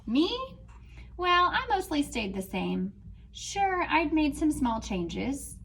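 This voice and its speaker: A woman teacher reading a picture book aloud to children, pausing to talk about the story. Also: high-pitched, sing-song, creaky, fairly bright tone. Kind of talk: read-aloud storytime with commentary.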